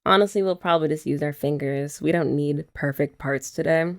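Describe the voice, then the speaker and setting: A woman's voice: sits low, fairly quick. A young woman doing a chatty ASMR girlfriend roleplay, explaining each step and teasing her listener. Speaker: ASMR creator.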